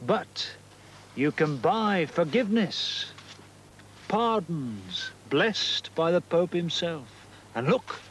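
Irreverent peasant, mocking churchmen, unhurried delivery over a faint outdoor hum. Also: male voice, a little high. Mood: hawking. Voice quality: mocking, wry. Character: sly scoffing medieval peasant man, irreverent. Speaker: peasant character. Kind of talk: educational drama acting. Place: outdoors under trees.

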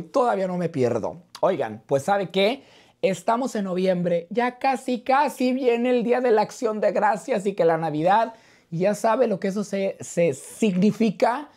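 A hoarse man presenting a skincare vlog to camera, chatting in an animated, playful and chummy way. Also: high-pitched. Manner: animated, playful, chummy with viewers, uses diminutives. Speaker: skincare video host. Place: furnished living room indoors. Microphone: clip-on lapel mic.

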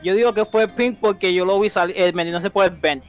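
A man in a casual online game, excitable and defensive, switching between Spanish and English as he pleads his case. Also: high-pitched, fast. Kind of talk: casual gaming chat. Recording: game music behind.

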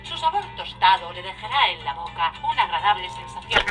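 A woman's voice: lowish voice, sing-song, brisk, distant mic, over music. A woman playing a famous aviator reading 1920s radio advertisements through an old broadcast filter. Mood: promotional.

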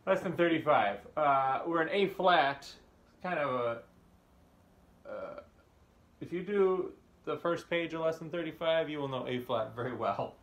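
A man in his thirties giving a casual music lesson at home, talking in a relaxed, chatty way with fillers and chuckles as he thinks aloud. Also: a little high, a little slow. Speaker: music teacher. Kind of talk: casual practice lesson between playing. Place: home room with bookshelves, indoors. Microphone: phone or camera on a stand nearby. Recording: home room recording with loud marimba. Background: marimba playing.

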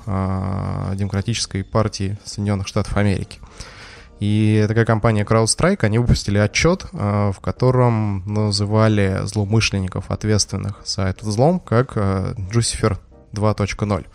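Gravelly low-voiced man telling a story. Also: a little mellow. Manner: hesitant, many fillers, storytelling. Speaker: security expert guest. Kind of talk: podcast explanation.

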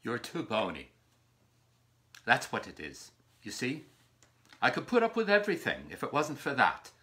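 A man's voice: medium pitch, huge pitch swings, normal speed, sharp tone. A man acting in a stage play as a cruel, agitated lover ending an affair, insistent and repetitive. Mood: scornful, insistent.

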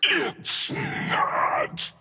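A man's voice: very slow, sharp tone, full character voice. A man voicing a monstrous demon scarecrow in a video game, mimicking terrified victims in distorted, broken, theatrical outbursts. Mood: desperate, haunting. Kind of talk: video game character voice lines. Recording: long cavernous reverb.

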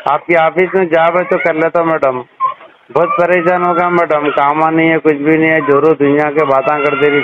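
A man with a Hyderabadi accent rambling through exasperated, repetitive complaints over the telephone. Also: on the high side. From Hyderabad Old City, India. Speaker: called customer. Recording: noisy narrowband phone call recording.

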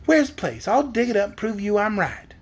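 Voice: mid-range voice, big pitch swings, fairly quick, husky. A reader voicing an earnest, slightly prim young country girl in a storybook, curious and polite. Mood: doubtful.

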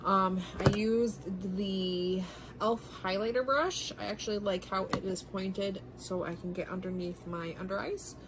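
A woman's voice: medium pitch, fairly even intonation, a little slow, background noise. A woman in her thirties chatting through a makeup tutorial in her bedroom, slightly nasal from congestion, rambling with many ums and apologetic asides, filmed on a close phone camera. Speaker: beauty vlogger. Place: bedroom at home, indoors. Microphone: phone camera held close.

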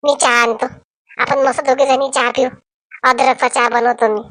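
A gossipy, scheming village housewife from a comedy cartoon, acted out by a woman. Her voice sits fairly high and is recorded close and dry. She talks in colloquial Varhadi Marathi with dramatic household flair. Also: flat delivery, moderate pace, fairly bright tone, dry recording, low-quality recording. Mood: homely, chatty. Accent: Varhadi Marathi from Vidarbha.

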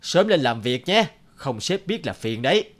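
A man's voice: mid-range voice, big pitch swings, moderate pace, fairly bright tone. A man voicing a sensible, nagging young office colleague in a cartoon, earnest and chiding. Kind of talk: cartoon voice acting.

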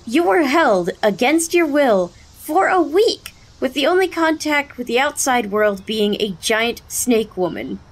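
A woman's voice: high voice, expressive, a little slow, hardly any pauses. A young woman playing a concerned, frank confidante in an audio drama, with a firm, reasoning delivery. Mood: firm, worried. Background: music.

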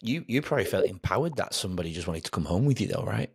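A man's voice: lowish voice, expressive, very fast, vocal fry, slightly rough, no room sound, studio quality. A middle-aged man hosting a podcast, chatting casually with his guest in an empathetic, affirming way. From outside Australia. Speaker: podcast host. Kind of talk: casual interview chat. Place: home room with shelves, indoors. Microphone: close desk podcast mic, headset.

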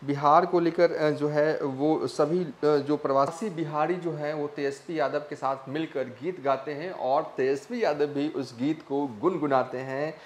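Halting young male news presenter, roomy echo. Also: on the high side, fairly even intonation. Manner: halting, many "uh" fillers. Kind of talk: news report to camera. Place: small indoor studio. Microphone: lapel mic.

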